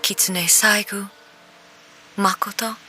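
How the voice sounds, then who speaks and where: A woman playing a dignified, reserved, ageless ruler in a video game, speaking formally and gravely in a measured, reflective way. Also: medium pitch, fairly even intonation, sharp tone, soft background music. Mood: solemn, reflective. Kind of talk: video game dialogue acting.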